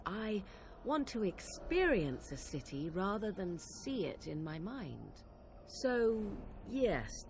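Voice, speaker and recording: A woman's voice: fairly low pitch, background noise. An actress playing a haughty young witch from the wilds, speaking in an arch, formal, sardonic way in a dramatic video game. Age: young adult.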